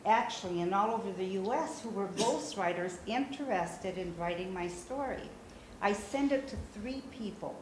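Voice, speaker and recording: An older woman, born in Brazil and a native Portuguese speaker, speaks conversationally to a small audience and pauses often with "uh." She is picked up by a camera across a small meeting room, so her voice carries a roomy echo. A faint hum sits under it. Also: medium pitch. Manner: conversational, frequent "uh" pauses, gestures while speaking. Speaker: memoir author giving a talk. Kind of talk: informal talk to a small audience. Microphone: far camera.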